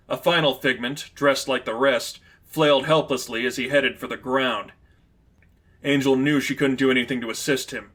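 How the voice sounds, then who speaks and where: A man's voice: medium pitch, fairly bright tone. A man reading scripted fiction aloud as the narrator of an action story. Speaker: narrator, reader.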